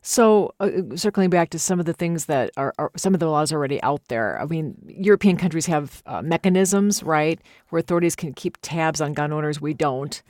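An American man with a slightly high voice works as a radio host. He interviews and presents in a conversational public radio style. The sound is very dry. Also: normal speed, hardly any pauses, a little mellow, no room sound.

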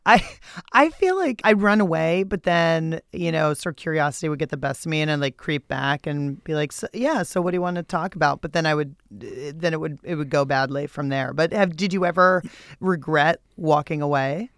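A woman's voice: deep voice, moderate pace, dry recording. Female interviewer, informal and conversational, clean resonant tone, dry studio-like sound. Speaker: interviewer, podcast host.

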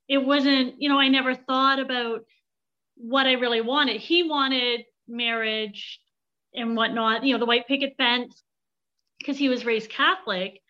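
A Canadian woman on a group call, sharing a personal story hesitantly with frequent pauses. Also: a little high, pushed and bright, muffled recording. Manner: hesitant, with many ums. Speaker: call participant.

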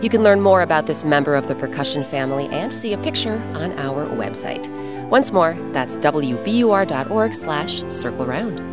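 A woman hosting and narrating a children's story in an expressive, scripted style with dramatic pauses. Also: low voice. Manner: clear, animated, dramatic pauses. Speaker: host and narrator. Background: instrumental music.

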